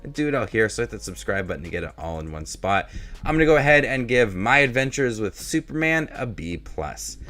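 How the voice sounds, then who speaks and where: Low-voiced man reviewing for a video channel. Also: big pitch swings, fairly quick, sharp tone. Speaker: YouTube movie reviewer, host. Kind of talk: casual review monologue to viewers.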